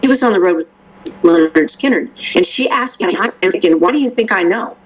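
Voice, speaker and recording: A woman's voice: medium pitch, animated, brisk, a little hiss or hum, rough recording. An older woman from the Memphis area, a vocal coach talking casually in an interview, rambling with restarts and fillers.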